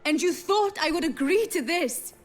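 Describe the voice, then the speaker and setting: A woman's voice: very high voice, expressive, normal speed. A woman playing a refined young noblewoman in a fantasy video game, earnest and resolute, with formal, precise phrasing. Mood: insistent. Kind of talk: video game cutscene acting. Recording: faint music under the voice.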